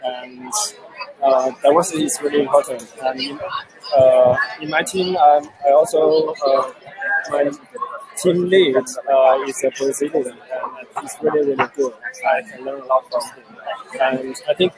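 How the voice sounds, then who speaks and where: A man from China, Chinese his first language, answering interview questions in halting English with many pauses. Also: mid-range voice, steady tone, over a noisy crowd, poor audio. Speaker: software developer guest. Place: busy event with crowd.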